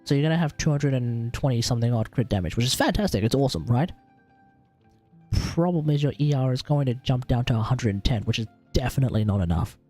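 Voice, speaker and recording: A man's voice: medium pitch, animated, quick pace, dark tone, faint music behind. A man with an Australian accent chatting casually as a game livestream host, informal and sweary as he thinks aloud. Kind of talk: casual gaming livestream chat, advising on character builds.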